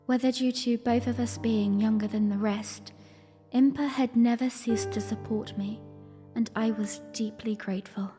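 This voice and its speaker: A woman playing a young princess who narrates her own story in a formal, reflective audio drama style, earnest and weary with a quiet defiance. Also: on the high side, fairly even intonation, normal speed, close to an everyday voice. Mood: reflective, wistful. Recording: long reverb, music underneath. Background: music.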